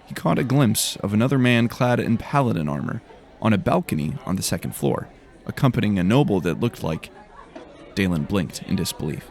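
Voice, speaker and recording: A man's voice: on the low side, animated, fairly quick, creaky, a little mellow, some chatter behind. A man narrating a scripted fantasy story in a dramatic storytelling style.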